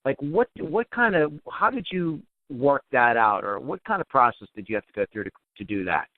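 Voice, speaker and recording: A man's voice: mid-range voice, sing-song, moderate pace. A man hosting a podcast interview, asking long, rambling questions full of restarts. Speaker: podcast host. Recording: tinny phone line, dry.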